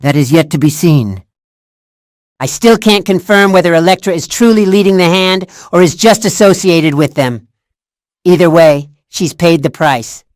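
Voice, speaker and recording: A woman's voice: lowish voice, moderate pace, darkish tone, no room sound, studio quality, lightly acted. A woman voicing a determined, vengeful action heroine with an earnest, formal delivery in fan-made game dialogue. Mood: grim.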